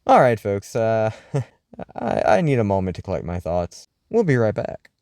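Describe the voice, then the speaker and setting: A man playing a wry, uneasy host of a late-night paranormal radio show, speaking in a scripted, folksy, theatrical way with deliberate pauses. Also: lowish voice, huge pitch swings, darkish tone, no room sound, heavily acted. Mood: weary, unsettled.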